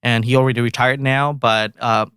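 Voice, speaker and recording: A man from Indonesia with an Indonesian accent, a composer guest talking in a halting, relaxed way with many fillers and restarts. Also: medium pitch, clear, resonant tone, dry recording, studio quality. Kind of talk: casual interview chat.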